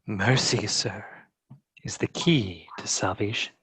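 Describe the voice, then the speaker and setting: A man playing a pious, quietly menacing medieval inquisitor in a dramatic script read, measured in manner. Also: fairly low pitch, breathy edge, heavily acted, rough recording. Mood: pious, pitying.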